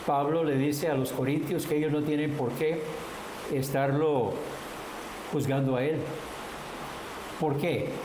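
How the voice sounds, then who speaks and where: Native English-speaking pastor in echoey church. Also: male voice, a little high. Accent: Costa Rican Spanish. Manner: conversational, answers questions from the congregation. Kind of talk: interactive Bible study sermon. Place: church hall. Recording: echoey church room with hiss.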